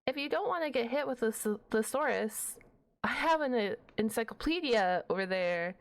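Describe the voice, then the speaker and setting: Girl doing a shy nerdy dragon-girl librarian for asmr rp, slow n hesitant, bit of creak, awkward and flustered. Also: on the high side. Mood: nervous, pleading. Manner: hesitant, bashful, rambling with awkward humour. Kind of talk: ASMR roleplay acting.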